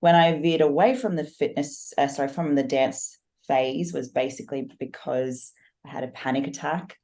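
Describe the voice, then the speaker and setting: Aussie woman, really low deep voice, earnest podcast guest telling her story. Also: fairly even intonation, normal speed, a little mellow. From Australia. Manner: earnest, reflective, talks in long flowing stories. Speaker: podcast guest, business coach. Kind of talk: personal storytelling in a podcast interview.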